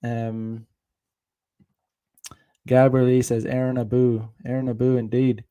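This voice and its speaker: Irish guy ranting on his stream, cursing a lot, stops and restarts, mid pitch. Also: level tone, takes it slowly, warm tone, smooth, dull audio. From Ireland. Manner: rambling, profane, many restarts. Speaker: podcast host. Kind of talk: casual livestream monologue.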